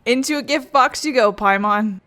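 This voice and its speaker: A woman's voice: fairly high pitch, normal speed, fairly bright tone. A woman hosting a game playthrough, commenting casually and reading dialogue aloud. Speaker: gaming video host.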